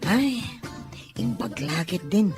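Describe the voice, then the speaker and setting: A woman's voice: fairly low pitch, sing-song, slow, music in the background. A woman voicing a chummy, teasing young male college student in an audio drama, with a Filipino accent. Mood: amused, curious. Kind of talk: amateur Ilocano audio drama acting.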